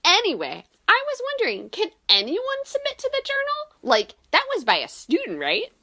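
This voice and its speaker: An actress playing an eager, giggly young woman student in an audio drama, bubbly and excitable. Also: very high-pitched, normal speed, full character voice. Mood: shy, eager.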